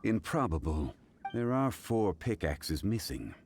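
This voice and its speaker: A man voicing a calm, learned and dignified character in a video game, formal and deliberate as he reasons aloud. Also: lowish voice, slight background noise. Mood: composed.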